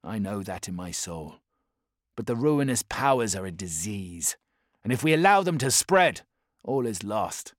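A man's voice: lowish voice, big pitch swings, a little slow, no echo, clean studio sound. A man voicing a devout male warrior chaplain in an audiobook, who speaks in a firm, solemn voice.

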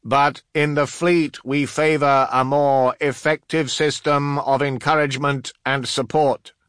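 Male reader as unflappable senior engineer, sci-fi audiobook. Formal, raised pitch, bright. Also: little pitch movement, clean tone, no room sound, studio quality, natural voice. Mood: politely reproving. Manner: formal, measured, polite.

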